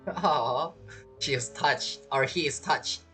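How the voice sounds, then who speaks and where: A woman streaming a video game with casual, bantering reaction commentary in a husky, expressive voice. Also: low voice, takes it slowly. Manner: expressive, bantering, repeats words. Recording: game music behind voice. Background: video game music.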